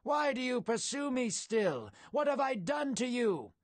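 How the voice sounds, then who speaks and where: A man voicing a bold, righteous young warrior in a storybook tale, shouting in a loud, declamatory way. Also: very high voice, sing-song, a little slow, no echo, pitched above the performer's own voice, exaggerated voice. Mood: pleading defiance. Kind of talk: dramatic storybook acting.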